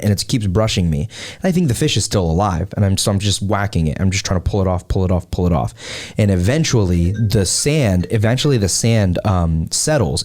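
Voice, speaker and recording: A man's voice: fairly low pitch, a little mellow. An American man hosting a podcast, chatting casually and telling personal stories in informal, slangy phrasing. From Hawaii, United States. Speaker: podcast host. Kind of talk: casual chat and personal storytelling.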